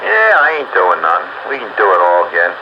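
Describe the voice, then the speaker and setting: A man with a mid-pitched, very lively voice banters casually over two-way radio. The transmission is muffled, with clear noise behind it. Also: a little fast. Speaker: amateur radio operator.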